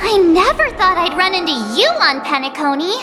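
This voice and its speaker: A woman's voice: very high voice, big pitch swings, moderate pace. A woman voicing a cheerful, curious young female companion, delivered as game voice acting. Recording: game music under voice.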